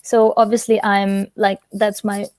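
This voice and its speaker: A young woman in her twenties from Italy, chatting as a guest in an interview with a relaxed manner and lots of fillers. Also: mid-range voice, unhurried, dry recording, clean studio sound. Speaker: guest singer. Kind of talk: casual interview chat.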